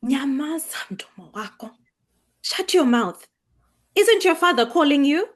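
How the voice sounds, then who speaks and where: A woman's voice: sits high, lively intonation, a little slow. An actress playing an anxious, stern mother from a Kenyan village in a staged drama reading, asking pointed questions. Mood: scolding. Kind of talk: staged script read of a drama.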